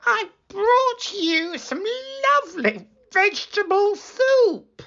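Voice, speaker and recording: Woman doing a squeaky wolf in sweet disguise. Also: big pitch swings, takes it slowly, fairly bright tone, highs cut off, full character voice. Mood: falsely sweet. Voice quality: squeaky falsetto. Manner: sugary false sweetness. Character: sly male wolf impersonating a little girl or granny.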